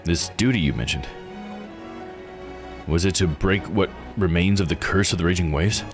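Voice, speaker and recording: A man's voice: low-pitched, normal speed, natural voice. A man voicing a polite, curious investigator in a visual novel, courteous and inquisitive. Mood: pondering. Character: polite, curious investigator probing an old mystery. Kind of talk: reading visual novel dialogue aloud. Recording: music under the voice. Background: game music.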